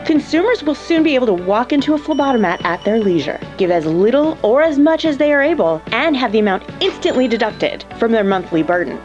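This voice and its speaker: Lively, polished corporate operations chief. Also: female voice, fairly high pitch, brisk, few pauses, dull audio. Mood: polished, reassuring. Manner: polished, instructional. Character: polished, reassuring female corporate head of operations. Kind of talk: scripted corporate training narration. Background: music.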